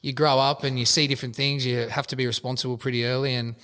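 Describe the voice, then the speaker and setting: Brief: young Australian guy, laid-back, rapid talk, long reverb on the voice. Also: medium pitch, flat delivery, sharp tone. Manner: laid-back, says "mate" often, rambling. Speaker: rugby league player guest. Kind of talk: casual interview chat.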